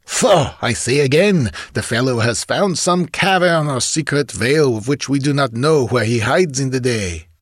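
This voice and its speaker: A man's voice: mid-range voice, lively intonation, moderate pace, hardly any pauses, no echo, clean studio sound, pitched above the performer's own voice, close to an everyday voice. A man voicing a cold, cruel bandit chief who talks in a contemptuous snarl, in an audiobook adventure. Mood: scornful.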